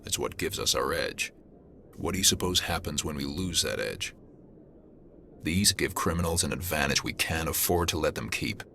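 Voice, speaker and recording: An actor playing a hard-nosed alien police detective in a video game, brusque and pragmatic, with clipped delivery. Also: male voice, rumbling low, expressive, normal speed, gravelly, sharp tone, a little hiss or hum, full character voice.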